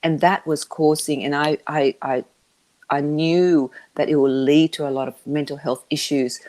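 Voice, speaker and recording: Low-voiced woman, halting and earnest. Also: fairly even intonation, a little mellow. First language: not English. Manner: halting, with frequent restarts and fillers. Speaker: interview guest, media business founder. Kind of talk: conversational interview answers.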